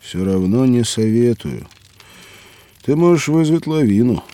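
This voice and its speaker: An actor playing a hearty, hospitable mountaineer in an audio drama for children, speaking expressively. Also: male voice, medium pitch, animated, unhurried, dark tone, no room sound, natural voice. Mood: earnest, animated. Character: hearty hospitable mountaineer by a campfire below Everest. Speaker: mountaineer character.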